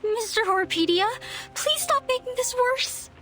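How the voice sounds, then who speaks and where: A woman voicing a sweet, naive and earnest village girl who speaks gently and politely, in a video game drama. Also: extremely high pitch, animated, measured pace, soft background music, exaggerated voice. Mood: gently pleading.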